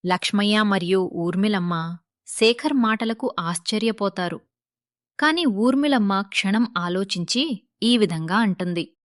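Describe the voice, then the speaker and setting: A woman's voice: mid-range voice, a little slow, dry recording. Female storyteller, dry studio-like sound. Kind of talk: scripted moral story narration.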